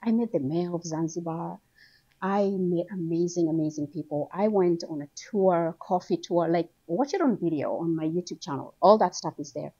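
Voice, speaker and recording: A middle-aged woman from Somaliland, raised in Kenya and England, telling her story as an author guest in an animated, conversational way. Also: moderate pace. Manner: animated storytelling, rises high when excited. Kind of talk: conversational interview. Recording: dull lo-fi sound.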